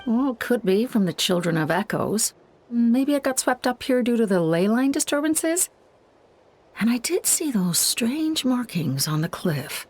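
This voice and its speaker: Game role: thoughtful young woman working out clues, bright-minded and inquisitive, with a very varied, fairly high delivery. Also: very animated, a little slow, heavily acted. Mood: pondering. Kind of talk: video game English voice acting.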